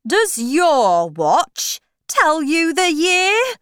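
A woman voicing an eccentric, blunt, irritable man in a children's story, with drawn-out, emphatic words. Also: extremely high pitch, huge pitch swings, a bit bright, dry recording, clean studio sound, pitched above the performer's own voice, exaggerated voice. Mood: eccentric, insistent. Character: eccentric, blunt, irritable male Mad Hatter. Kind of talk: slow children's storybook character voice.

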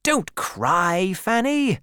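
A curt army sergeant , voiced by a female storybook reader, answers firmly and evasively. The pitch sits somewhat above plain narration, with a brisk, placating manner and a dry, close sound. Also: sing-song, fairly bright tone, clean tone, dry recording, heavily acted. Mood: apologetic.

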